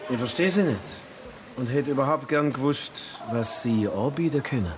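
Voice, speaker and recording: Man doing a pompous slippery gangster boss, fake polite, radio play, very animated, noisy background. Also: mid-range voice, normal speed, lightly acted. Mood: evasive, haughty. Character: haughty, slippery businessman crook with a bodyguard. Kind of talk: radio drama acting.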